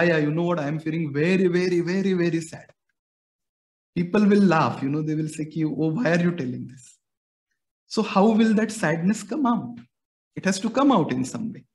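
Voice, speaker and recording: A man's voice: a little high, darkish tone. A young Indian man, a music educator whose first language is Marathi, answers interview questions patiently in Indian English, explaining with everyday examples. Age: young adult.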